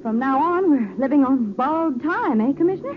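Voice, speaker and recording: A woman's voice: sits high, expressive, a little fast. An actress playing a poised, teasing woman in a 1940s radio drama. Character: poised, teasing woman, amateur sleuth's companion. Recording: tinny, hissy 1940s radio recording.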